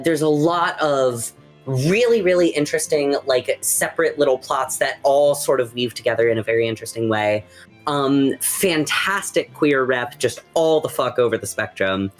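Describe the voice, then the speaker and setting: A podcast panelist chatting casually in a rambling, loose way full of "like" and "sort of". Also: mid-range voice. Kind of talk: casual group chat.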